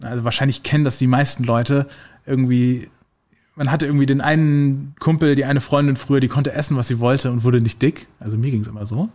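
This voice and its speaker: A man talking through health science in a relaxed, conversational way. He pauses often on fillers and works through everyday examples. His pitch sits in the middle range for a man. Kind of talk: casual explanatory conversation.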